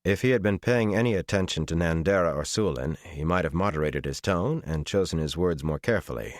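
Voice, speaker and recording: A man narrating a fantasy audiobook in a scripted, dramatic style with measured, deliberate delivery. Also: sits low, animated, no room sound, studio quality.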